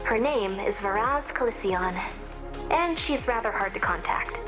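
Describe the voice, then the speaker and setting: No-nonsense female officer, wry, blunt, slightly high pitch, game dialogue, music underneath. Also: expressive, a little slow. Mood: businesslike. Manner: sardonic, blunt, casual. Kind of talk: scripted video game dialogue.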